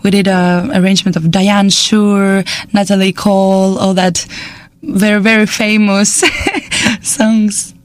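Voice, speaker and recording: A young woman from Estonia speaking English with an Estonian accent, a jazz singer talking as a radio guest, pausing often to search for words and laughing often. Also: mid-range voice, little pitch movement, no room sound. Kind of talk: casual radio interview.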